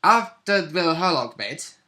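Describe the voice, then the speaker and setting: A man chatting casually as a player, recounting a tabletop role-play session in an animated storytelling manner. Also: a little high, unhurried. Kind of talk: casual chat recap of a game session.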